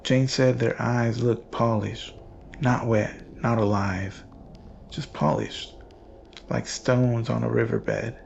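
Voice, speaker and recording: A man reading scripted horror narration in a grave, measured, ominous manner. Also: mid-range voice, steady tone, gravelly, darkish tone, dull audio, slight background noise.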